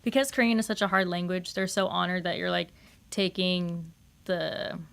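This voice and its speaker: A woman's voice: on the low side, steady tone, moderate pace, gravelly, fairly bright tone, smooth. A young woman with an American accent chatting casually as a podcast guest, rambling informally with lots of "like". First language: English. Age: young adult. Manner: informal, rambling, frequent use of "like". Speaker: podcast guest, English major. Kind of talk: casual chat.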